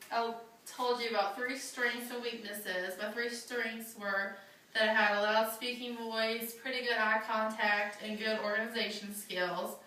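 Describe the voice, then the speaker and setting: A woman's voice: mid-range voice, bright, forceful tone, slight background noise. A young adult woman, a student giving a prepared class speech, earnest and a little nervous, with some fillers. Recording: echoey classroom from far off.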